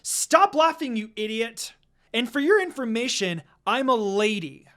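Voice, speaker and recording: A narrator voicing a hot-headed, vain young female ninja who is loud and indignant in an anime fan story. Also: medium pitch, very animated, measured pace, pitched above the performer's own voice. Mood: furious.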